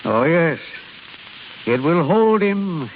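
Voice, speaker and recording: Gruff old father, animated. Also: a little high, slow pace. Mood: concerned. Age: older. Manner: plain-spoken, earnest. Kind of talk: 1930s-40s radio drama acting. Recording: tinny, hissy old radio recording.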